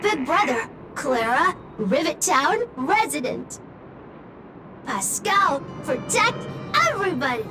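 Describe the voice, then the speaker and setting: An actress voicing a small, childlike robot in a video game, eager and loyal, speaking in halting, clipped fragments. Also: female voice, high-pitched, big pitch swings, full character voice. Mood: loyal, dutiful. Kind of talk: video game story dialogue. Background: background music.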